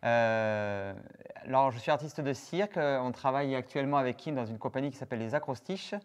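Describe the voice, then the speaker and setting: French circus performer; halting interview answers, clean resonant tone. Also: male voice, medium pitch, clean tone. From France, Toulouse. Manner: hesitant, many fillers.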